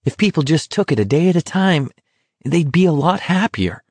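A man's voice: medium pitch, measured pace, dark tone, no room sound, lightly acted. A man voicing an earnest, exasperated young man arguing insistently in an audiobook. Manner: insistent, emphatic, pleading. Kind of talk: audiobook character dialogue.

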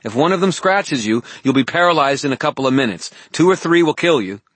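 A man voicing a tart, wary young woman spellcaster in an audiobook, with a sharp, urgent, pointed delivery. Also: fairly high pitch, lively intonation, fast talker, no room sound, pitched above the performer's own voice. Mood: warning, insistent. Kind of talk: audiobook character dialogue.

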